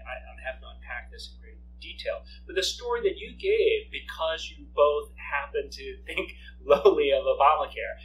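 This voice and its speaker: Middle-aged man, native Korean speaker, talking off the cuff to a room; somewhat distant mic, faint hum. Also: mid-range voice. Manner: animated, thinking aloud with many fillers, gestures while talking. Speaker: speaker leading a campus discussion. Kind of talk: conversational talk answering audience. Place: lecture room with projection screen.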